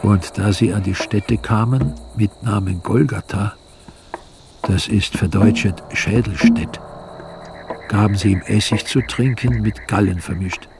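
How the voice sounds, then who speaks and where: An actor playing a solemn evangelist, narrating scripture in a measured, grave way for a radio drama. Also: male voice, on the low side, background noise. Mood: grave narration.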